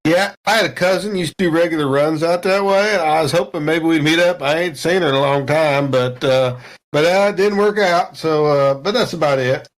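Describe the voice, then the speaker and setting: A man's voice: on the high side, fairly quick, close to an everyday voice. A man voicing a folksy, overconfident spaceship crewman who rambles along with homespun sayings in a tabletop game. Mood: easygoing. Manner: drawling, rustic, rambling small talk with homespun sayings. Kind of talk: improvised in-character dialogue in a tabletop game.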